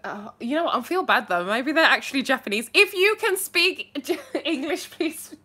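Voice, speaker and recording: Young woman, bubbly livestream host, chatty and animated, with a bright, slightly high voice, on a phone mic in a small bedroom. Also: moderate pace. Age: young adult. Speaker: livestream host answering viewer questions. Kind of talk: casual live chat. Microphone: phone held at arm's length.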